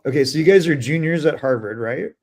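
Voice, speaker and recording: A man from Canada hosting a casual live interview, chatty and quick to joke and laugh. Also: fairly high pitch, lively intonation, a little mellow. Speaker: host.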